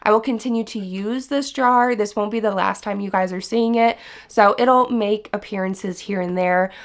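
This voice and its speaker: A young woman with a stuffed-up, hoarse voice from a cold, chatting enthusiastically to her viewers in a casual book vlog. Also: medium pitch, normal speed. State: sick and congested, hoarse. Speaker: book vlogger. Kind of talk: casual vlog chat to camera.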